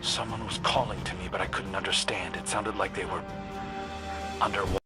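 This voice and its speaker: An actor playing a troubled, brooding scout haunted by dreams, speaking in a hushed, halting way in a video game. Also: male voice, low-pitched, fairly even intonation, loud music behind the voice, close to an everyday voice. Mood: hushed, intent. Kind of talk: dramatic video game dialogue.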